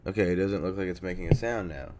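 A young man with a very deep voice, made hoarse by a cold, hosts his solo podcast. He rambles casually, swears freely and fills gaps with ums. He sounds chesty and congested, recorded on a desk mic at home. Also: quick pace, heavy background noise. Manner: rambling, swears often, many fillers. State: sick, congested and coughing. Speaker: podcast host. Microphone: desk mic near a computer.

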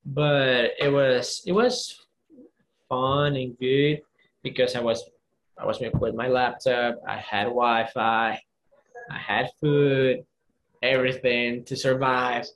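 Guy, talks slow and halting, looking for the right english word. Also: medium pitch, fairly even intonation, fairly bright tone, a little hiss or hum. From Guatemala. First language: Spanish. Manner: halting, searches for English words. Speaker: music producer guest. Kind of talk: casual interview chat.

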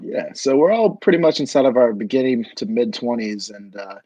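Mellow, husky young man hosting. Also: medium pitch, expressive, a little fast, no echo, rough recording. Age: 20s. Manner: rambling, filler-heavy. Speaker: podcast host. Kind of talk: casual chat.

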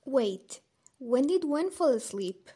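A woman playing a caring, gentle, teasing young woman in an audio drama. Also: sits high, animated, slow pace, husky, full character voice.

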